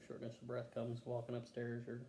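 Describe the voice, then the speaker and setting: A man's voice: medium pitch, monotone, a little fast, mellow, slight background noise. A man answering health questions as a patient, in terse, casual, offhand replies.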